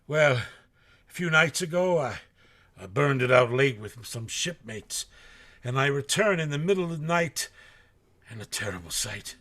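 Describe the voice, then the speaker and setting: A man playing a nervous, rough but honest sailor in a radio drama, stammering and deferential. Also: mid-range voice, lively intonation, moderate pace, fairly bright tone. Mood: uneasy storytelling. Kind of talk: live radio drama acting.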